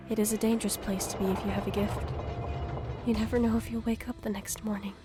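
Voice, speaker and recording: A woman playing a young gifted fugitive who narrates her own story in a measured, earnest audio drama style. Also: medium pitch, lightly acted. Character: young gifted female fugitive, wistful and plain-spoken. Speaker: narrator and lead. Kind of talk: scripted first-person audio drama narration.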